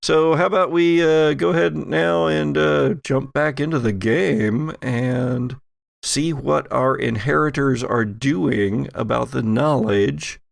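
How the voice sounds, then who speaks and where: Slow-talking man with a gravelly edge. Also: mid-range voice, no room sound, clean studio sound. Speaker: podcast host and game master. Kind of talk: casual chat.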